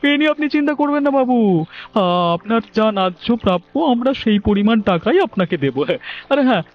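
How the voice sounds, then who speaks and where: A man voicing an excitable older brother in a Bangla cartoon, eager and strained. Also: high voice, a little fast, highs cut off. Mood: scheming, eager. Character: greedy older brother eager to sell flooded land.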